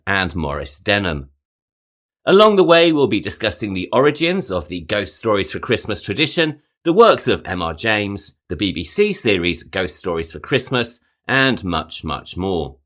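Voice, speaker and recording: A man hosting a podcast, reading a scripted narration in a measured way, each phrase rising and then falling away at the end. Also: low-pitched, a bit bright. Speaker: podcast host.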